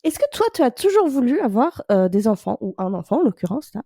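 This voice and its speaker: A woman hosting a podcast interview, chatty and quick to laugh, with many fillers. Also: fairly high pitch, very animated, warm tone, breathy edge, no echo, studio quality. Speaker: podcast host.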